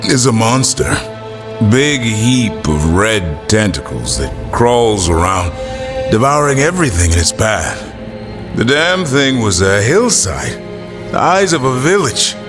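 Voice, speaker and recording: An actor playing a boastful monster-fighter in a video game, telling war stories in a blunt way. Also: male voice, on the low side, sing-song, slow, over music. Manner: blunt, storytelling.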